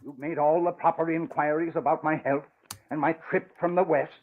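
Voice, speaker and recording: A gruff old newspaper boss played by a man, husky and fairly high for a man. He is blustery and demanding in the manner of vintage radio drama, on a muffled old recording. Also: fairly even intonation, fairly quick, close to an everyday voice. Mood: gruff, impatient. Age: older. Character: gruff, impatient elderly newspaper-owner father.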